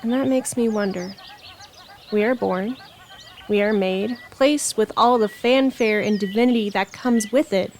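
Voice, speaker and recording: A woman's voice: mid-range voice, darkish tone, slight background noise. Contemplative woman reading poetry over birdsong. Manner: contemplative, measured phrasing. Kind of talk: reflective spoken poetry reading. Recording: noticeable outdoor ambience with birdsong. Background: nature ambience, birds.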